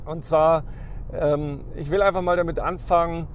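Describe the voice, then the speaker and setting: A man's voice: on the high side, fairly even intonation, moderate pace. A man, hosting a casual travel vlog while driving and rambling with many ums and restarts. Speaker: vlog host. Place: inside a car driving through snowy forest. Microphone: camera on the dashboard. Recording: faint car noise.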